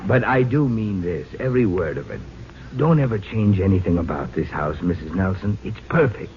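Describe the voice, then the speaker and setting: Polite earnest married man in a 1940s style radio sitcom, very lively pitch, muffled hissy old recording. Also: moderate pace. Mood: earnest, wistful. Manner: courteous, earnest, theatrical. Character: henpecked married visitor, wistful, polite, delighted by mess.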